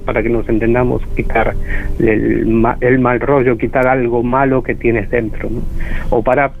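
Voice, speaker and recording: A man's voice: medium pitch, little pitch movement, moderate pace, vocal fry. Man from argentina calling in, lots of ums, sounds like a phone line, faint hiss. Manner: hesitant, many fillers, explanatory. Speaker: guest author. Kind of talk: radio phone interview.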